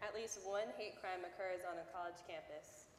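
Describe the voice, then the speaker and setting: A woman's voice: fairly low pitch, moderate pace. A young woman giving a solemn, declamatory readers theater recitation as a student performer. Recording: echoey auditorium with long reverb.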